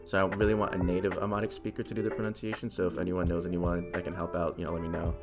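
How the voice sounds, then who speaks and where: A man's voice: low-pitched, completely flat delivery, very fast, music in the background. A young American man hosting a channel in a casual, self-deprecating explainer voice-over, speaking informally. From United States. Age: young adult. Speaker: language-learning channel host.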